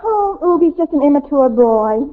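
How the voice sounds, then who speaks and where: A woman's voice: high voice, animated, moderate pace. A woman playing a dramatic, starstruck, self-important American teenage girl in a 1940s radio sitcom, gushing and theatrical. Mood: haughty. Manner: gushing, theatrical, grandly affronted. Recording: tinny old radio recording.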